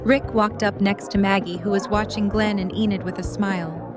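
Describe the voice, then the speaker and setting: A woman's voice: medium pitch, fairly even intonation, moderate pace. Narrator: woman, mid-range voice, scripted story narration for audio drama, music underneath. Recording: music and party chatter behind. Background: music and crowd chatter.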